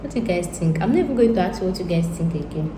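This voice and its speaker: A woman's voice: lowish voice, animated, quick pace. Rambling Nigerian woman in echoey room. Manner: rambling, thinks aloud, repeats herself. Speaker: YouTuber. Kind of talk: casual monologue to viewers. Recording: echoey room with faint ambient noise.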